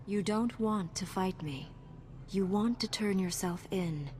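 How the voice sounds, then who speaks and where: A woman playing a calm, dutiful Jedi Knight in a video game, measured and earnest in delivery. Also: mid-range voice, shouting, a little hiss or hum, natural voice. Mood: firm, calm.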